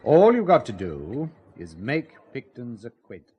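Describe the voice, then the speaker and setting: A man playing a smooth, evasive intelligence go-between in an audio drama, formal and understated as he persuades. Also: medium pitch, huge pitch swings, unhurried, a little mellow, slight background noise. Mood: smooth, coaxing.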